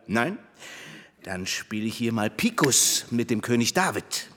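An actor playing a jovial, courtly gentleman at cards in a radio drama, teasing and theatrical. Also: medium pitch, sing-song, measured pace. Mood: playful. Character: jovial, courtly gentleman card player, showing off. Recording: reverberant hall with faint ambient noise.

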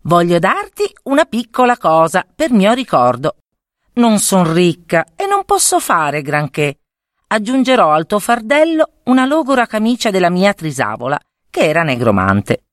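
A woman's voice: medium pitch, big pitch swings, normal speed, dry recording, clean studio sound, pitched above the performer's own voice, close to an everyday voice. A woman voicing a kind young foster sister in a fairy tale, earnest and reassuring. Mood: tender.

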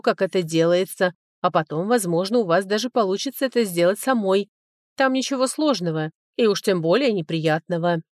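A woman reading the lines of a calm, polite male caregiver in an audiobook novel, soft-spoken and reassuring. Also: lowish voice, dry recording, studio quality, lightly acted.

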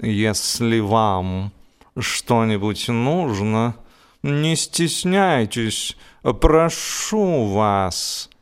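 A man's voice: medium pitch, expressive, clean tone. A man voicing a huge old tomcat, brazen and self-assured, drawing out his words with exaggerated courtesy in a comic audiobook. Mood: coolly courteous. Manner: slow, drawn-out, exaggerated politeness.

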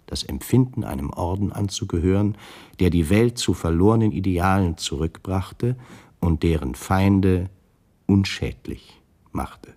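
Ex camp commandant reading his memoir, deep rough voice, formal, detached, making excuses, radio play. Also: a little slow, dark tone. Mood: self-justifying. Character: self-justifying former male camp commandant recalling his past. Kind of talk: monologue, written memoir read aloud.

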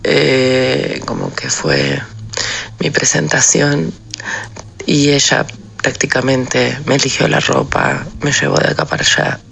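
A woman with an Argentine accent telling a casual anecdote haltingly in a voice message with faint noise behind. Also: a bass voice, creaky, fairly bright tone. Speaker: listener sending an audio message.

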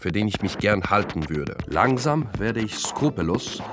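Low, lively confessional narrator. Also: male voice, moderate pace, noisy background. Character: self-doubting impostor director confessing his schemes. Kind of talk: scripted first-person radio play narration. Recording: music under narration.

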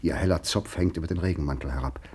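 A man narrating a literary radio play in measured, deliberate short phrases. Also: very deep voice, darkish tone, slightly rough.

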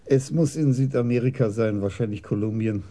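An actor playing a methodical, commanding police chief in a German radio crime drama, speaking in a deliberate, formal way. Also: male voice, mid-range voice, dark tone, natural voice. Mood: methodical, businesslike.

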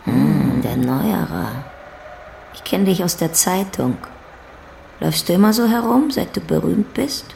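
An actress playing a blunt, mocking, earthy warehouse worker in a radio play, speaking deliberately and often in breathy half-whispers. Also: female voice, fairly low pitch, expressive, dark tone, a little hiss or hum, heavily acted. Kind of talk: stylised radio play acting.

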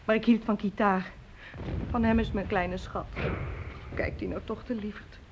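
A woman's voice: mid-range voice, steady tone, moderate pace, natural voice. An actress playing an anxious, devoted young village mother in a radio drama, emotional and pleading. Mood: tender, confiding. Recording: dull, noisy old radio play recording.

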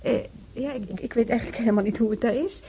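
An actress playing a pious, chattering widowed church volunteer in a radio drama, stammering and repeating herself with a husky, breathy voice. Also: female voice, a little high, fast talker, noisy background, natural voice. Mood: flustered. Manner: rambling, stammering, repeats herself, earnestly preachy. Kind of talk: naturalistic radio drama dialogue.

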